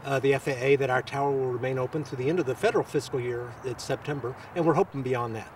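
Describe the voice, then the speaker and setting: A man answering press interview questions as a local official, with halting, hesitant phrasing. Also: mid-range voice, far from the mic, noisy background.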